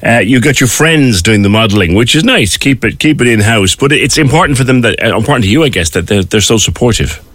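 A man's voice: medium pitch, lively intonation, fast, few pauses, a bit bright, no room sound. A man, chatting encouragingly as he hosts a conversational interview. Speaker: radio-style interview host.

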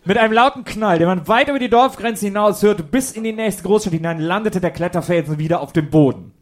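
A man's voice: high-pitched, fast talker. A man reading scripted narration for a comedic audio drama.

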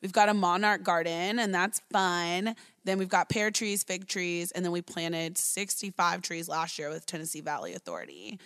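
A woman's voice: lowish voice, fairly bright tone, smooth. A woman in her thirties, an early childhood educator, telling her personal story in an interview, earnest and talky with lots of "like" and "right?".

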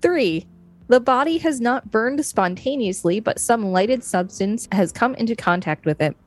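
A woman's voice: fairly high pitch, lively intonation, unhurried. A woman co-hosting a podcast, chatting casually and reading an article aloud. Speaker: podcast co-host.

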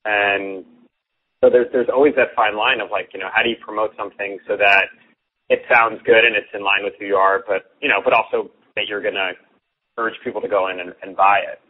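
A man's voice: lowish voice, steady tone, a little fast. A man guest who coaches on copywriting, explaining earnestly and often repeating words as he starts sentences. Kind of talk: casual interview chat. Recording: tinny phone-like line.

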